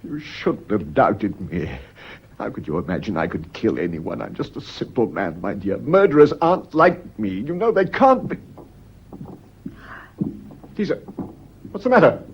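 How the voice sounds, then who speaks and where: An actor playing a defensive, agitated husband accused of murder, urgent and exasperated, in an old-fashioned British radio drama style. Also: male voice, fairly low pitch, big pitch swings, unhurried, pauses often, a little hiss or hum. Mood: desperate, agitated. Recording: dull, lo-fi radio recording.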